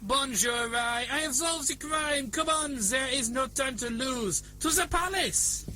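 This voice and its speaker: High-voiced pompous French detective. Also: male voice, measured pace, bright, forceful tone, a little hiss or hum, heavily acted. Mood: dramatic, determined. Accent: put-on French. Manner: pompous, theatrical. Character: pompous French detective, vain parody sleuth, male. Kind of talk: comic murder mystery radio play acting.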